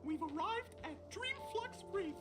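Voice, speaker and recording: A woman's voice: very high voice, expressive, slow, heavily acted. A woman voicing a childlike, cheerful and devoted cartoon clock companion in a video game. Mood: gentle, bright wonder. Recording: long hall reverb, loud game music.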